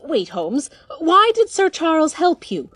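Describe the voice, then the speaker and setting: A woman playing an indignant, quarrelsome mother in an audio drama, with a husky voice and a huffy, theatrical manner. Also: very high voice, very animated, unhurried, fairly bright tone, no room sound, clean studio sound, heavily acted. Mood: hurt, affronted. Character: indignant, quarrelsome, litigious mother, Mrs Frankland.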